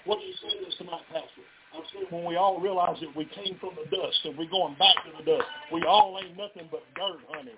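Southern man, revival preacher, high voice, folksy calls to the crowd, in a tent, tinny phone-like sound. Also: brisk, few pauses, music in the background. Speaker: pastor. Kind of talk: revival sermon to a live congregation. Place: revival tent near a residential street. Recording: tinny phone-line live stream.

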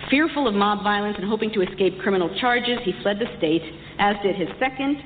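A woman giving a prepared public lecture, telling history in measured, emphatic and deliberate phrases. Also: medium pitch, lively intonation. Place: large reverberant hall. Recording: echoey hall, dull distant sound, faint noise.

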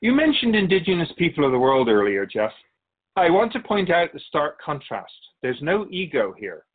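Male actor as otherworldly male soul keeper, wise, wry, measured, musing, animated intonation, radio drama, muffled lo-fi recording. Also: a little high, husky, exaggerated voice. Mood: approving, reflective. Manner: measured, didactic, musing pauses. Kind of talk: radio drama dialogue in interview form. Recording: dull, lo-fi sound.

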